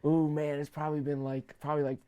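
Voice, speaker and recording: A man's voice: a little high, monotone, quick pace, mellow, slight background noise. A young man born in Bulgaria, whose first language was Bulgarian, chatting laid-back as a guest beatboxer in an interview. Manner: laid-back, says "bro" a lot. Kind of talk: casual interview chat.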